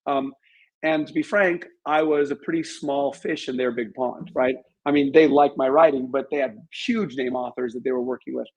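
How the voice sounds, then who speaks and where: A middle-aged man with a mid-pitched voice talks as a guest in a casual interview. He tells his stories in a candid, chatty manner and is happy to make himself the butt of them. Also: steady tone, moderate pace, lo-fi sound. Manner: candid, self-deprecating, chatty. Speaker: interview guest, author and business owner.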